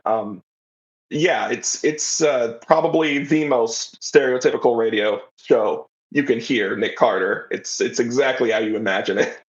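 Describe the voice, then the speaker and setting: A man's voice: mid-range voice, dull audio. A man talking casually as an interview guest, explaining things in a rambling, enthusiastic way. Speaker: interview guest, writer of an audio drama.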